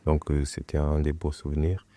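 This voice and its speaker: A young man from Portugal whose first language is Portuguese, talking as a guest in a relaxed, hesitant way and often restarting his phrases. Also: rumbling low, moderate pace. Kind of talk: casual conversation.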